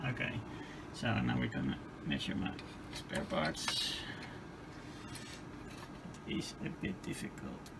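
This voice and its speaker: Gravelly man thinking aloud at a workbench. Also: mid-range voice, very noisy. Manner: halting, tentative, muttering through each step. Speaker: host of a radio repair video. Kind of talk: hands-on repair demonstration, thinking aloud. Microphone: handheld camera.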